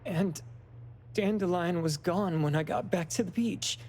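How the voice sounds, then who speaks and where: A woman voicing an earnest, gentle young woman in a video game, speaking plainly. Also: fairly low pitch, a little slow, slight background noise, natural voice. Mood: wistful. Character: earnest, gentle young woman searching for her lost dog. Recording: music with instruments behind the voice.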